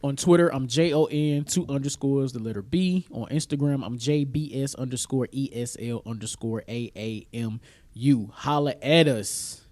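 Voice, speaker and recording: A man's voice: mid-range voice, normal speed, darkish tone. A man co-hosting a podcast in casual chat, with an informal, slangy, easygoing way of talking. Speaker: podcast co-host. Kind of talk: casual chat about pop culture.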